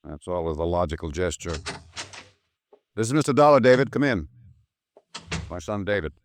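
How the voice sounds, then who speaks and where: A man's voice: low voice, sing-song, normal speed, a little hiss or hum. An actor playing a crusty, ill-tempered rich old man, curt and accusing, in 1950s radio drama style. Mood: curt, grudging. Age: older.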